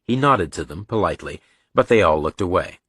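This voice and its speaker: A man's voice: low-pitched, animated, fairly quick, a bit bright, slightly rough, no room sound, studio quality. A man narrating a thriller audiobook in a scripted, dramatic storytelling style.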